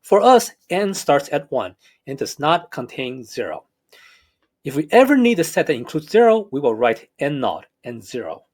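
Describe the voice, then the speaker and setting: A man's voice: on the high side, very animated, moderate pace. A man with a slight Hong Kong accent, a math professor narrating a recorded lecture in a careful, explanatory way. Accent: Hong Kong English.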